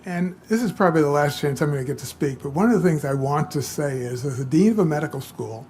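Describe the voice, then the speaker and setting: A man in his seventies making measured, deliberate remarks at a public roundtable as a medical school dean. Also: fairly high pitch, a little mellow. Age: 70s. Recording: live event microphone with background room noise.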